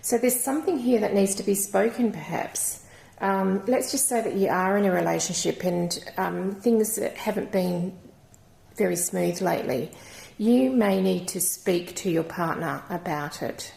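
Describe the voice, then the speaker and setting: A woman with a croaky voice giving a channelled crystal reading in a gentle, rambling, reassuring way. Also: medium pitch, measured pace. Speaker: intuitive card reader. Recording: echoey room.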